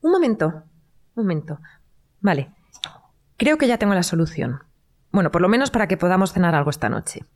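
A woman's voice: fairly low pitch, sing-song, a little fast, long pauses. An actress playing a bossy, teasing, health-minded young woman in conversational audio comedy. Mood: decisive. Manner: conversational, correcting, assertive. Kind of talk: Spanish-language audio fiction comedy acting.

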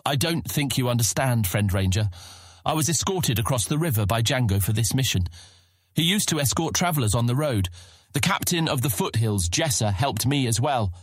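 Young earnest fantasy hero, raspy mid voice, polite but pleading, audiobook drama. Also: male voice, medium pitch, lively intonation, moderate pace, no echo, studio quality, faint music behind. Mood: earnest, insistent. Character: earnest young guild ranger on a secret mission. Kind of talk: full-cast fantasy audiobook acting.

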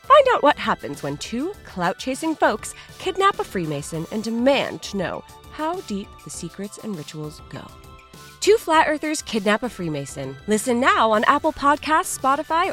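A woman's voice: a little high, sing-song, normal speed, fairly bright tone, soft background music. A woman presenting a scripted comedic podcast promo in a playful, quirky manner.

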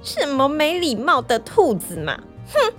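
A high-voiced, girlish young lady of the moon from a children's fairy tale. She is excitable and quick to take offence, drawing her words out slowly over music. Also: heavily acted. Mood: huffy. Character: young moon lady Chang'e, girlish, indignant, easily startled.

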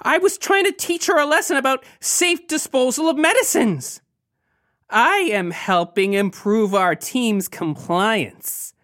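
A woman's voice: on the high side, measured pace, a bit bright. A woman playing a cheeky, sardonic young male troublemaker in an audio comedy drama, glib and sarcastic. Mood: smug, defensive.

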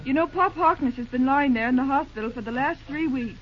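A woman's voice: high voice, brisk, lightly acted. An actress playing an earnest, worried young woman who works at a country railroad depot in a 1930s radio drama. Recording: dull old radio recording, faint hiss.

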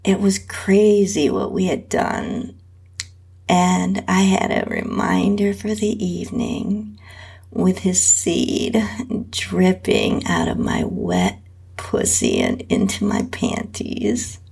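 Female narrator for adult audio fiction: very slow, drawn-out delivery, heavy vocal fry, breathy tone. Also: lowish voice, very animated, a little mellow. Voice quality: husky, breathy. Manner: slow, drawn-out reading with whispery dips. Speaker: host and story narrator. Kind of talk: scripted first-person erotic story narration.

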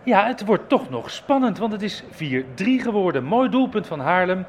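Lively male football reporter on location. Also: sits high, normal speed, slight background noise. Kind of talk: live radio match commentary.

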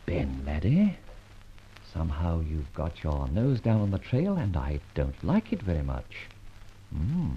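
An actor playing a debonair, self-assured gentleman rogue in a breezy, urbane manner, as in an old radio adventure serial. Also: low-pitched, wildly swooping pitch, a little slow, a little hiss or hum, heavily acted. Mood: brooding. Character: suave, debonair gentleman adventurer, secretly a roguish thief. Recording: dull, lo-fi old radio recording.

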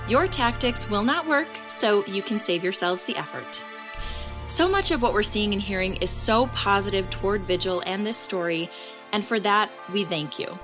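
A woman playing a composed, resolute podcast host, speaking in a measured, formal and firm way. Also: mid-range voice, close to an everyday voice. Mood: firm, unyielding. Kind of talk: scripted podcast address.

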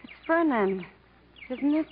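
A woman's voice: high-pitched, expressive, measured pace. An actress playing a shaken but brave woman stranded in the jungle, earnest and plaintive in 1950s radio acting style. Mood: shaken, relieved. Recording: narrow, faintly noisy vintage radio recording.

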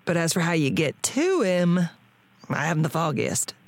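A woman's voice: lowish voice, sing-song, moderate pace, dry recording. A woman playing a world-weary, plain-spoken radio tinkerer with a folksy frontier manner in an audio drama. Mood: knowing, practical.

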